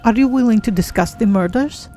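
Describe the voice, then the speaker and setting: A streamer voicing a polite, curious cat-folk adventurer in a measured, formal manner while roleplaying a game. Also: mid-range voice, dark tone, soft background music, lightly acted. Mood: composed, inquiring.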